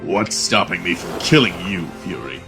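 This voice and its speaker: A man's voice: low voice, expressive, moderate pace, creaky, over music. A man playing a proud, scornful undersea king in an audio drama, with a haughty, formal and threatening way of speaking. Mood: angry, menacing.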